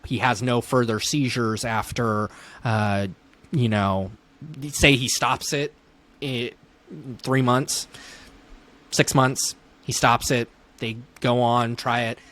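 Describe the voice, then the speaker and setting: A man's voice: medium pitch, steady tone, slow, fairly bright tone. A man speaking as a neurologist guest in an interview, thinking aloud as he explains clinical points conversationally.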